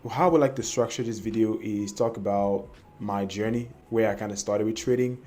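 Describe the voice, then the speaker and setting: A man's voice: lowish voice, a little fast, darkish tone, faint music behind. A young man from Cameroon telling his personal trading story to camera, hesitant and full of fillers and restarts. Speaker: trading vlogger.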